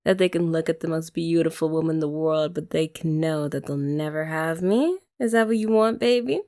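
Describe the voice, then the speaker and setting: A young woman in an ASMR girlfriend roleplay, talking softly and teasingly to the listener. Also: low-pitched, fairly quick. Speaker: ASMR creator.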